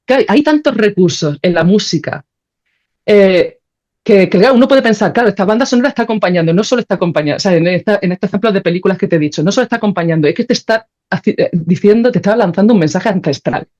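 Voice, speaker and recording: A woman's voice: mid-range voice, quick pace, a little mellow, muffled recording. A woman with an accent from Spain, explaining ideas in a casual, colloquial conversation as a podcast guest, thinking aloud. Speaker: podcast guest, composer and sound creator.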